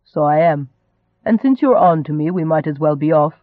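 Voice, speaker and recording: A man's voice: a little high, moderate pace, dry recording, narrow, tinny audio, lightly acted. A man acting a teasing, roguish young nobleman.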